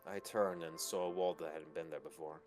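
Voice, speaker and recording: A man's voice: a bass voice, fairly even intonation, a little fast, a little mellow. A man reading first-person narration from a visual novel as a storyteller. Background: background music.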